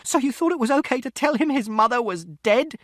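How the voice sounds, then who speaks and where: A man reading aloud who voices a protective mother, shouting shrilly in anger and soothing her son when calm. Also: on the high side, normal speed, no echo, studio quality, pitched above the performer's own voice. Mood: angry shouting.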